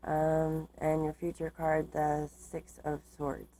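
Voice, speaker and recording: A woman giving a tarot card reading, reading aloud and explaining in a measured, halting way, her voice slightly starting to give out. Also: low voice, completely flat delivery, mellow. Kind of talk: tarot reading, part read aloud from cards, part informal explanation.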